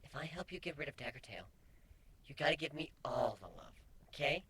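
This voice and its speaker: A woman playing a brazen, teasing female pony with a breathy, husky voice in a dramatic fan fiction reading. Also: sits low, expressive, a little fast, a bit bright, full character voice. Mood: pressing. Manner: sly, blunt, provocative.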